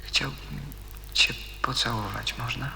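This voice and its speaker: Intense lovestruck young guy, radio drama, unhurried bright voice, echoey with long reverb. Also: medium pitch, fairly even intonation, creaky, full character voice. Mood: tender. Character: smitten, intense young man, Janusz. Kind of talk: radio play acting. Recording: echoey reverberant space, faint noise.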